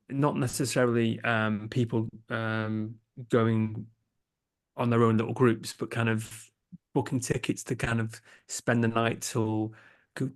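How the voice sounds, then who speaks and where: A young man with an English accent, a podcast producer chatting as a guest in a casual interview, hesitant, with many fillers and restarts. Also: medium pitch. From England.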